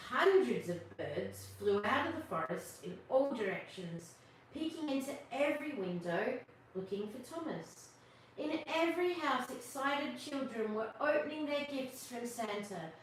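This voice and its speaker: A woman reading a picture book aloud to children as host, with expressive narration and asides to young listeners. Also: a little high, a little slow. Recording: distant, very echoey room, faint noise.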